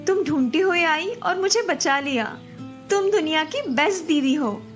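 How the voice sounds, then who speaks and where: A woman's voice: sits high, animated, moderate pace, soft background music. A woman voicing a pert college-age younger sister in a cartoon story, with a girlish, earnest delivery. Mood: grateful. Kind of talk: Hindi animated moral story dialogue.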